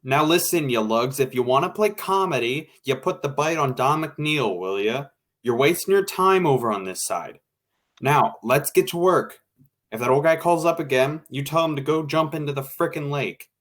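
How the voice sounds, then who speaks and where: A man's voice: a little high, expressive, moderate pace, a bit bright. A man playing an impatient radio director in a 1940s-style drama, brusque, slangy and commanding. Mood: impatient, bossy.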